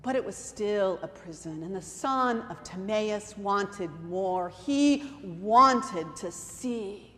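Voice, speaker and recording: A woman's voice: mid-range voice, lively intonation, slow, hardly any pauses, pushed and bright, slight background noise, voice pushed down. A woman playing a devoted, proud mother in a dramatic monologue, telling her story emphatically with conversational asides. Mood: earnest, reflective. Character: devoted, proud mother of a blind beggar son. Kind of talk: dramatic monologue reading at a church service. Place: church, during a service. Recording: reverberant church hall.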